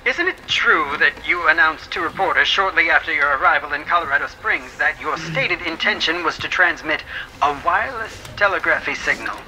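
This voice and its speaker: A man's voice: fairly high pitch, animated, moderate pace, bright, forceful tone, a little hiss or hum, low-quality recording. A man playing a stern, scornful government attorney in a courtroom audio drama, cross-examining in a pompous, clipped, theatrical manner.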